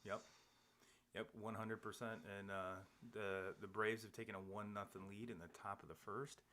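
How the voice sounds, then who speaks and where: Rambling low-voiced male sports podcaster. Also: little pitch movement, normal speed, pushed and bright, slight background noise. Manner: rambling, many restarts and fillers. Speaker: podcast host. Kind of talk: casual sports chat. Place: home studio, seated across from co-host.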